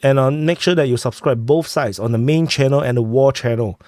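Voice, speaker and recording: A man who is sick, hosting a war update and walking through a map, talking haltingly with many fillers and restarts on a close mic. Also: medium pitch, darkish tone, no room sound. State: sick, clearing throat. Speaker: war news host.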